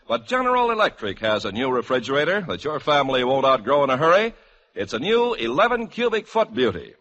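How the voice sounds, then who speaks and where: A man's voice: fairly high pitch, bright, forceful tone. A man with an American accent announcing in a polished, emphatic, formal 1950s radio style. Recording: 1950s radio broadcast, music behind.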